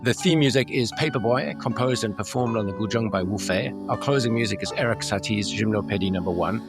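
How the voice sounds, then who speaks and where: A man's voice: lowish voice, fairly quick, loud music behind the voice. A man hosting a podcast, talking in a casual conversational interview style. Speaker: podcast host.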